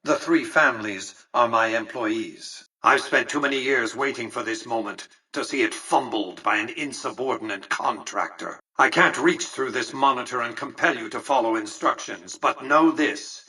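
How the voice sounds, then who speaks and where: Old rich overlord for a video game, husky but sharp voice, pompous and condescending, bit far from the mic. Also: male voice, medium pitch, moderate pace, hardly any pauses. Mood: cold menace. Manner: formal, pompous, precise diction. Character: imperious elderly male tycoon ruling a casino city, coldly calculating. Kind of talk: scripted game dialogue.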